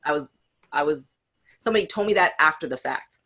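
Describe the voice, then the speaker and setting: A woman from Canada co-hosting a casual panel chat, talking in a halting way and repeating words for emphasis. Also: sits low, expressive, sharp tone, slightly rough. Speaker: talk show co-host.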